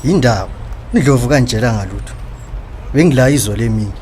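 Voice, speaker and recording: A man's voice: medium pitch, fast talker, darkish tone, a little hiss or hum, close to an everyday voice. A man with a Zimbabwean accent playing a concerned, respectful, frank working man in a radio drama. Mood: friendly, concerned. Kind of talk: radio drama acting in Ndebele.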